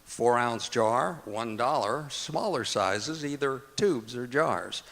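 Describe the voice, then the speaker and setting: A man's voice: mid-range voice, animated, slow, creaky, a bit bright. A man announcing in old-time radio style, reading introductions and adverts formally from an auditorium stage. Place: performing arts center auditorium, live stage.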